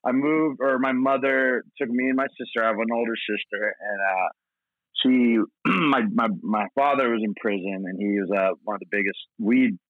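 A man's voice: mid-range voice, steady tone, moderate pace, dry recording. An American man from Portland, Oregon, a comedian guesting on a podcast, telling anecdotes in a rambling, slangy, self-deprecating way over a tinny line. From Portland, Oregon, United States. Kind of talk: casual anecdotal chat.

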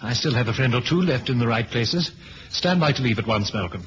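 An actor with a British accent playing a curt, commanding intelligence chief in a 1970s radio drama. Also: male voice, medium pitch, animated, a little fast, close to an everyday voice. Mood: brusque, determined. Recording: dull, hissy old radio recording.

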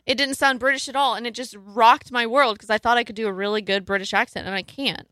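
Woman, 20s, tipsy, giggly, joking, high voice, bright, dry close mic. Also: fairly even intonation, quick pace, no echo. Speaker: podcast guest. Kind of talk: casual podcast chat.

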